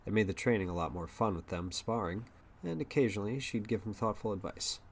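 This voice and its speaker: Deep-voiced male story narrator. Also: fairly quick, very noisy.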